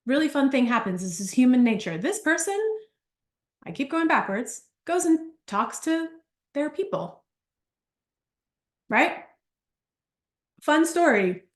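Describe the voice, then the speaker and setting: A lively woman leads a business workshop, spinning chatty stories and throwing in asides. Her voice sits a little high, and the room gives it a noticeable echo. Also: a little slow, pauses often. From Argentina. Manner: animated, chatty, storytelling with asides. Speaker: business coach. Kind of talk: live workshop talk.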